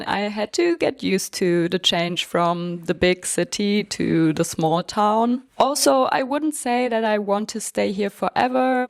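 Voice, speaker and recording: A woman in her early thirties from Germany, speaking English with a German accent in a casual, open, chatty vlog to camera. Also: medium pitch. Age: early 30s. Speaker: vlogger.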